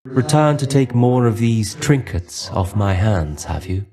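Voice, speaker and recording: A man's voice: mid-range voice, expressive, measured pace, dark tone. A man voicing a weary, brooding dead Greek warrior in a video game, resigned and aloof, with formal, archaic phrasing. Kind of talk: video game character dialogue.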